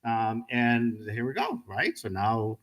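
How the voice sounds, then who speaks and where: Bright-voiced man in his fifties from the Dominican Republic. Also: mid-range voice, slow. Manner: animated, joking, asks the audience questions. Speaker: guest speaker at a graduation ceremony. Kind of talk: motivational speech with personal stories. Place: event hall with a live audience.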